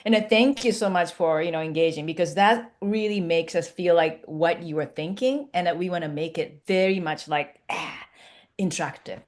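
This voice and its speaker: A woman's voice: lowish voice, moderate pace. A woman from Japan in her forties with a Japanese accent, co-hosting a live webinar and chatting with an easy laugh. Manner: warm, laughing, animated. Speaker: face yoga founder and co-host.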